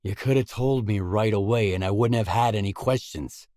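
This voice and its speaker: A male actor plays a hard-bitten mercenary loner from a video game, a man with a low, deep voice. He is guarded and businesslike, talking in short, matter-of-fact lines. The sound is dry and close, with no room around it. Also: normal speed, darkish tone, no echo, lightly acted. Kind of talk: video game dialogue acting.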